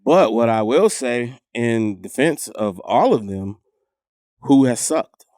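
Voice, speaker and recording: A man's voice: medium pitch, lively intonation, slow, darkish tone, no echo, studio quality. A man co-hosting a podcast, talking in a laid-back way, thinking aloud and swearing casually. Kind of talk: casual chat.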